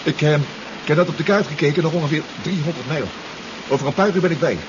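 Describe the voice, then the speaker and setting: Man playing a halting submarine pilot, engine roar behind. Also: fairly high pitch, lightly acted. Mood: shaken, apologetic. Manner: halting, stammering, repeats himself. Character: distraught, exhausted submarine pilot reporting by radio. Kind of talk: 1970s radio drama acting. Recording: dull radio play recording, loud engine noise.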